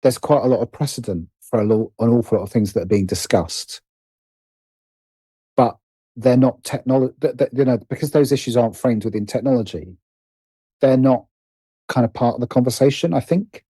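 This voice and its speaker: A man's voice: mid-range voice, moderate pace, mellow, husky, dry recording. A British man speaking as a podcast guest in casual conversation, hesitant and thoughtful as he circles around his points. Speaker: podcast guest, writer and producer.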